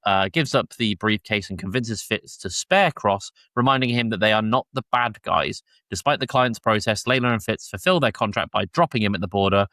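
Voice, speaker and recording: A man with a fairly low voice hosts a casual review podcast. He explains things at length and corrects himself along the way. The sound is close and dry. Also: bright, forceful tone, no room sound. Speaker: podcast host. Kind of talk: casual review chat with plot summaries.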